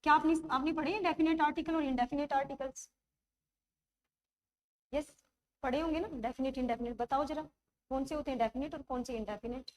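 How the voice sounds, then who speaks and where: A woman teacher with a high voice leads an online grammar class, her throat sore. She keeps coaxing the class with quick check-ins and slows right down to spell out examples. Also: steady tone, low-quality recording. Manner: coaxing, checks understanding often; writes examples while speaking, slows to dictate them.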